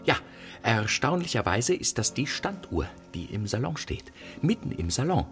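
An actor playing a polite, fussy and easily flustered gentleman inventor who rambles formally in a children's radio play. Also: mid-range voice, very animated, moderate pace, faint music behind. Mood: pedantic.

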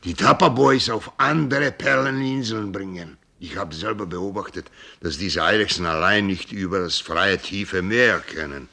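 Gravelly old sea captain. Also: male voice, deep voice, moderate pace, sharp tone, full character voice. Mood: scheming, persuasive. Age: older. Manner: rambling, folksy storyteller, sprinkles in English words. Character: old sea captain, boisterous, tipsy, sentimental, shrewd. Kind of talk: radio play acting.

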